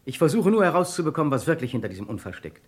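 Methodical male detective for crime audio drama: commanding, insistent, husky, lively intonation. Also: fairly high pitch, racing, no echo. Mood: pressing, stern. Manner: terse, commanding, probing. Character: methodical, insistent male police detective leading a murder case. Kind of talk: German crime radio play acting.

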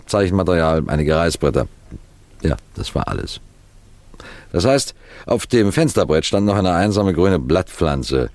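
A man playing a composed, clever police detective, recounting a case in measured, precise radio mystery narration. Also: low-pitched, a little mellow. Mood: explaining, precise. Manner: measured, precise, deliberate storytelling.

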